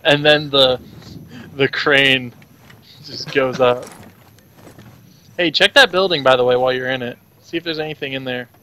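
A man with a bright, forceful voice and average pitch co-hosts a game playthrough. His talk is casual banter, with faint noise and game sounds behind him. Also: normal speed, long pauses. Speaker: gaming video co-host. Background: video game ambience.

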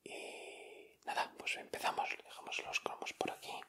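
Male ASMR host, Spain accent, slow and breathy whisper with crisp, bright edges. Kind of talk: whispered ASMR, casual commentary. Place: indoors at a table.

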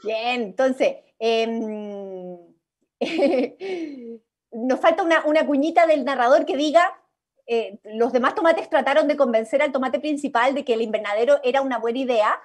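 A woman with a high voice leads a drama workshop over a video call. She gives instructions and checks in encouragingly as she directs. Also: a little slow. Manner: encouraging, instructive, acts out example lines. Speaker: workshop leader. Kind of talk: directing an improvised radio play workshop. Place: indoors at home, on a video call.

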